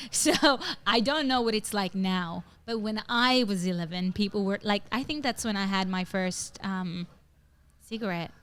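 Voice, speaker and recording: A young adult woman from northern Italy co-hosting a podcast in casual chat, telling animated stories and leaping into high voices for quotes. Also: measured pace, clear, resonant tone. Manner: animated storyteller, jumps into high acted quotes. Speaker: podcast co-host.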